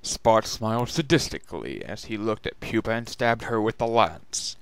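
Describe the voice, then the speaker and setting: A man's voice: fairly low pitch, expressive, moderate pace, vocal fry, clear, resonant tone. A man narrating a scripted story as a dramatic reading. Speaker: narrator and reader.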